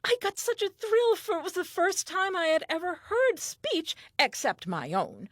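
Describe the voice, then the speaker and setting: An actress playing a talkative, eager and self-assured first woman telling her diary in a comic audio play, earnest and expressive. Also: extremely high pitch, moderate pace, no room sound, clean studio sound, full character voice. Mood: curious, delighted.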